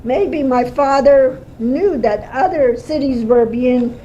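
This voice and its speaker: An older woman in her seventies, born in Armenia, slowly recalling her life in a home interview, with many pauses and fillers. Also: high voice, warm tone. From Armenia (Erzurum, Turkey). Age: 70s. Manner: slow, halting storytelling with fillers. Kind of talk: oral history interview. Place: home kitchen. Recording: lo-fi home cassette tape with faint hiss.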